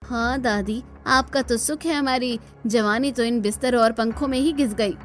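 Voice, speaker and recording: A woman voicing a sharp-tongued, defiant young village daughter-in-law who grumbles and gossips in a cartoon. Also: fairly high pitch, normal speed, close to an everyday voice. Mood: grumbling. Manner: complaining, gossipy.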